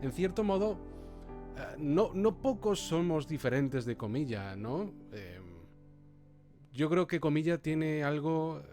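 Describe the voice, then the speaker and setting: Reflective man over clear guitar music. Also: a little high, expressive, slow pace, dark tone. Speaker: amateur voice actor. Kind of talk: reflective interview talk.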